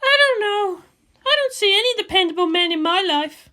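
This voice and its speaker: Guy doing a playful world-weary young woman officer, really high voice, emotional, fan fiction audiobook. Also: lively intonation, moderate pace, sharp tone, heavily acted. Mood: sly, playful. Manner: emotional, teasing. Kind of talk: dramatised fan fiction reading.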